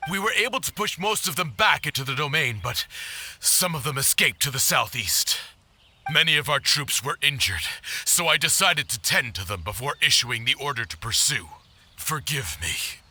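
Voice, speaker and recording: A man's voice: fairly high pitch, sharp tone, a little hiss or hum. An actor playing an earnest, anxious male soldier in a video game, formal and deferential in manner.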